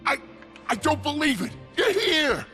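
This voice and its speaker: Scratchy high alien convict, excitable braggy fanboy, swoops all over, game cutscene w music. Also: male voice, unhurried, heavily acted. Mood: starstruck, excited. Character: boastful male alien convict, excitable and starstruck.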